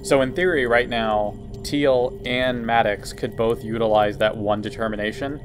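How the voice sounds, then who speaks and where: A man's voice: medium pitch, steady tone, moderate pace, noisy background. A man playing a tabletop game, asking questions to confirm rules and adding up successes aloud. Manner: methodical, tallying numbers, asking to confirm. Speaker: player.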